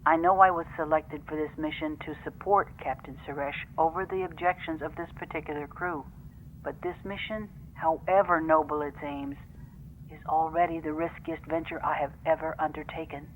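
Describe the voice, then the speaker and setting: A woman playing a serious female first officer who dictates formal, measured log entries in a fan audio drama. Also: low voice, steady tone, slight background noise, lightly acted, rough recording.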